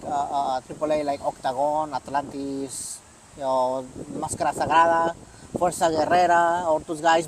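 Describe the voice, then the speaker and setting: A man's voice: a little high, noisy background. A man from Mexico, the host's cameraman, chatting easily as a guest with many fillers and agreeing murmurs. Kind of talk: casual chat.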